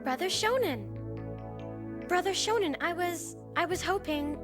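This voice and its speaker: A woman's voice: extremely high pitch, lively intonation, measured pace, over music. A woman voicing a sweet, shy, lovestruck young girl in an English anime dub, hesitant and demure. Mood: bashful.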